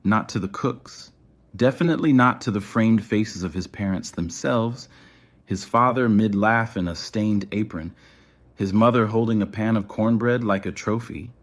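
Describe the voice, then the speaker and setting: Male narrator for scripted fiction, lower-pitched voice, storytelling delivery. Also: moderate pace.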